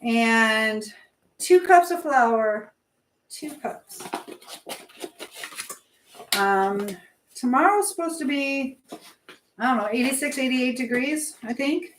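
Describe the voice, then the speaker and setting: A woman with a fairly high voice hosts a casual livestream from her home kitchen. She rambles in a chatty way, thinking aloud as she works. There is clear background noise with some clicking. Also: animated, slow, pauses often, poor audio. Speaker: livestream host. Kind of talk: casual live-stream chat while baking.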